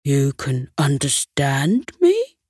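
A woman's voice: a bass voice, wildly swooping pitch, slow, a little mellow, slightly rough, no echo, clean studio sound, voice pushed down, full character voice. A woman voicing a friendly, wide-eyed male pet dog in a children's story, with a wondering, questioning manner. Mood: amazed.

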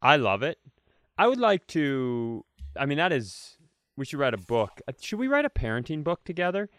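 A man's voice: a little high, big pitch swings, normal speed, a bit bright, no echo. A man co-hosting a comedy podcast, riffing and telling stories in a casual, playful way. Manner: riffing, storytelling, playful bits. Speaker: podcast co-host.

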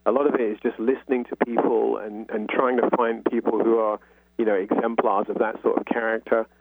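A man with a London accent talks as a guest author in a radio interview, reflective and explanatory. His voice sits a little low and has a husky grain. It comes down a narrow, phone-like line with very little room sound. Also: level tone, fairly quick, no room sound. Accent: London English. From North London, England. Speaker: guest novelist. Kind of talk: conversational radio interview answers.

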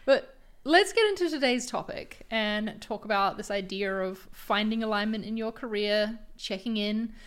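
Slightly raspy young woman podcast host. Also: medium pitch, moderate pace. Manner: friendly, teasing, laughs easily. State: slightly raspy from a long filming day. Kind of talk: casual chat.

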